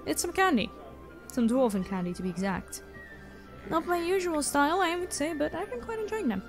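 Woman acting a high-voiced, familiar elven tavern keeper. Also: animated, brisk, over music. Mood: affectionate, chatty. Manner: familiar, teasing, uses pet names. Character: warm, flirty elven tavern keeper woman, protective and tough. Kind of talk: ASMR roleplay acting addressed to the listener.

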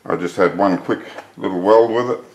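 A man's voice: low-pitched, normal speed. An older man, a hobby welder, chatting plainspoken and self-deprecating as he reviews gear in a workshop shed. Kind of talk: casual product review.

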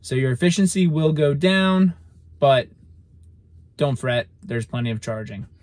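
A man's voice: a little high, expressive, unhurried, darkish tone, no echo. A young man vlogging from inside a parked car, explaining things in a matter-of-fact way to a phone camera held at arm's length. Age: young adult. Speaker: vlog host. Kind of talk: casual vlog talk.

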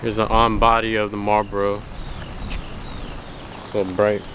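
A man chatting casually with excited, quick reactions while filming a friend's clothing haul outside. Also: on the low side. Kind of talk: casual chat. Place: outdoors on a street. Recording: outdoor street noise.

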